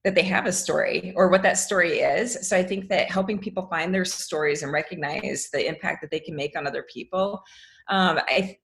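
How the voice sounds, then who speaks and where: A woman's voice: lowish voice, a little fast, vocal fry. A woman giving conversational interview answers as a guest, telling anecdotes and chuckling as she talks. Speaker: podcast guest, educator and author.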